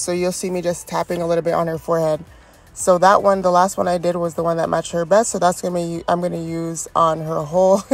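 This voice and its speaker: Young female beauty vlogger, congested and fry-heavy, friendly how-to voice-over with soft music under it. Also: lowish voice. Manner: chatty and encouraging, frequent ums. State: congested from a cold. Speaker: wig stylist and beauty vlogger. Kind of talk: step-by-step tutorial voice-over.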